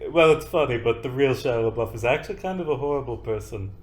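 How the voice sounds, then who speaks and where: A man running a tabletop game as dungeon master, animated and joking, often correcting himself mid-sentence. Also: mid-range voice, fast talker, a loud crowd.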